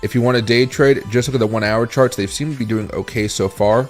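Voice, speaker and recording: A tired man with a raspy voice hosting a casual crypto livestream, thinking aloud as he reads charts and answers chat. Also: mid-range voice, steady tone, a little fast, soft background music. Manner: rambling, thinks aloud while scrolling charts and reading chat.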